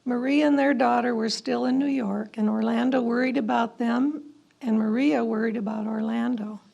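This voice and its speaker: A woman's voice: on the high side, steady tone, normal speed, mellow, dull audio. A woman giving an informal historical narration as a presenter, speaking a little hesitantly. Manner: hesitant, with frequent throat clearing. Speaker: presenter commenting on historical letters.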